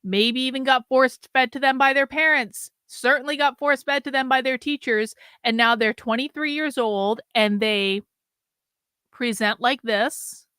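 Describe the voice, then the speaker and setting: A woman's voice: high-pitched, measured pace, bright, forceful tone, smooth, no echo. A woman hosting a reaction show, talking bluntly in rambling asides with lots of filler and the odd swear word. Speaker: commentary host reacting to a clip. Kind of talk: casual reaction commentary.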